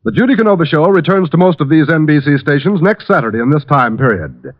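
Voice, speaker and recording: A man's voice: fairly high pitch, sing-song, quick pace. A man announcing in the formal style of 1940s network radio. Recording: muffled old radio recording, music behind.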